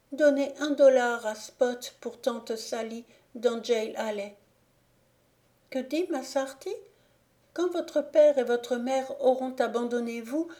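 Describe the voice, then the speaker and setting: A woman's voice: high-pitched, mellow, voice pushed up. A woman voicing a delirious feverish little boy. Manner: raised, breathless, pleading delivery. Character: feverish delirious young boy, frightened and pleading.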